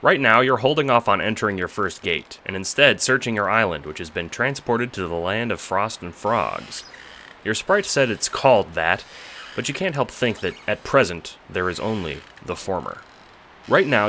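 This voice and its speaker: Very low-voiced man narrating audio drama. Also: animated, normal speed, sharp tone, a little hiss or hum. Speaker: narrator and producer. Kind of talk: scripted second-person audio drama narration.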